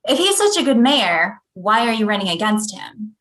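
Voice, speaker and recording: Actress as a skeptical reporter lady around thirty, dry and probing, mid-pitched voice, comedy table read. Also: very animated, normal speed, a bit bright, low-quality recording. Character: persistent, skeptical thirty-year-old woman newspaper reporter.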